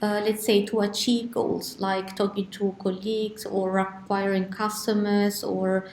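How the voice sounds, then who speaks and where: A woman's voice: mid-range voice, level tone, a little slow, darkish tone, slight background noise. Polite woman interviewer, echoey room. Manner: polite, hesitant with fillers. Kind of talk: interview questions.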